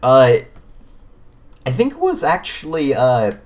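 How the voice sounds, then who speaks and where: A man co-hosting a podcast in casual conversation, feeling his way toward each point in a halting manner. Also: medium pitch, huge pitch swings, darkish tone. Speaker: podcast co-host. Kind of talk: casual chat about games and films.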